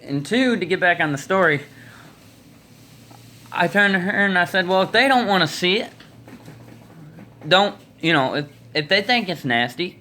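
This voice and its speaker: Young American man, slightly high bright voice, folksy, opinionated, casual rambling vlog, phone recording indoors. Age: 20s. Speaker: vlogger telling stories. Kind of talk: casual rambling storytelling to camera. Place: small indoor room. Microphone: phone at arm's length.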